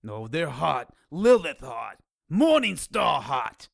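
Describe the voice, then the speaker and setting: A man's voice: fairly high pitch, sing-song, takes it slowly, creaky, no room sound, clean studio sound, exaggerated voice. A man playing an agitated, streetwise underworld informant in an audio drama, urgent and slangy. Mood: urgent, alarmed.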